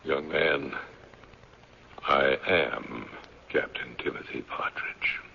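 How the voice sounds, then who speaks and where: An actor playing a stern, suspicious old mountain patriarch in a radio drama, commanding and deliberate, with a gravelly voice. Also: male voice, very deep voice, full character voice. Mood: grave, probing. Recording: dull, noisy old radio recording.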